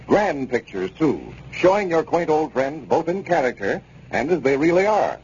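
An American man working as a radio announcer, giving a scripted pitch in a chatty, polished 1930s style. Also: sing-song, moderate pace, a little mellow, slightly rough, highs cut off. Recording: noisy, band-limited 1930s radio broadcast.

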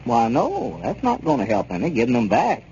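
A man's voice: mid-range voice, wildly swooping pitch, fairly quick, gravelly, dark tone. A man playing a genial, sensible small-town neighbor who talks plainly and reassuringly in a 1930s radio comedy serial. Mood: advising. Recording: dull, noisy old 1930s radio recording.

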